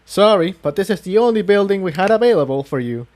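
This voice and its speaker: A man's voice: sits high, animated, fast, a little mellow, voice pushed up. A man voicing a devout, courteous pegasus townsman who explains things earnestly and formally in a fantasy audiobook. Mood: apologetic, reassuring. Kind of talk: acted dialogue in fan fiction audiobook.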